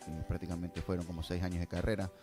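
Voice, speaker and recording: A man's voice: low voice, flat delivery, fast, music in the background. A man with an Ecuadorian accent answering as a filmmaker guest in a conversational, informal, slangy interview style.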